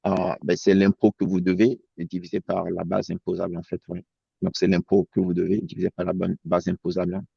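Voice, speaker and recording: A man's voice: sits low, monotone, fairly quick, gravelly, dark tone, breathy edge, dry recording. A man who grew up in France, presenting as an accountant in a webinar over a video call, hesitant and full of fillers and restarts. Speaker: accountant guest. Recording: dull lo-fi sound.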